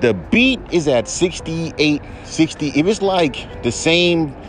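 A man with a baritone voice hosting a podcast, ranting in a heated, profane, rambling way. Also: lively intonation, slow, soft background music. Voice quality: deep baritone voice. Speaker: podcast host. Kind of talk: casual podcast rant.

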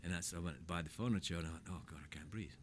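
A man's voice: deep voice, racing, a little hiss or hum. Older man rambling through wild stories. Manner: rambling, animated anecdotes with swearing. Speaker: guest telling his life story. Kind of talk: casual storytelling chat.

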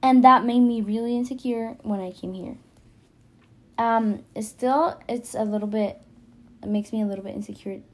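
A woman's voice: on the high side, steady tone, moderate pace. A teenage girl, a native Spanish speaker with a Spanish accent, talking casually and hesitantly in a self-conscious, rambling podcast monologue. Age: teenager, high school freshman. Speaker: podcast host.

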